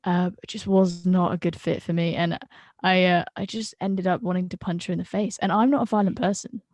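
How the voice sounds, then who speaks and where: Frank, animated woman from the UK. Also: lowish voice, brisk, dry recording. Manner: frank and animated, stumbling over words. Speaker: guest friend. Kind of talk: casual video-call chat. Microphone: video call.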